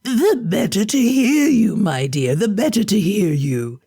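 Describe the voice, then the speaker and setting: A woman's voice: medium pitch, sing-song, unhurried, warm tone, slightly rough, no echo, clean studio sound, pitched above the performer's own voice, exaggerated voice. A woman voicing a sly wicked wolf in a storybook reading, speaking in a coaxing way.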